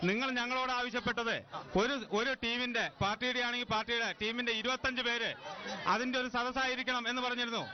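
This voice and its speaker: A man's voice: very high voice, little pitch movement, fast. A man objecting as a debate panelist, repeating phrases for emphasis. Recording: muffled outdoor recording with crowd.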